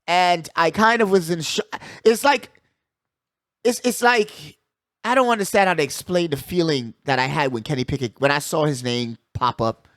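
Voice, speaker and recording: A man's voice: a little high, normal speed, a bit bright, dry recording, clean studio sound. A man hosting a sports show with casual, slangy commentary, hyping his points and repeating phrases for emphasis. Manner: slangy, hype-man delivery with frequent check-in phrases.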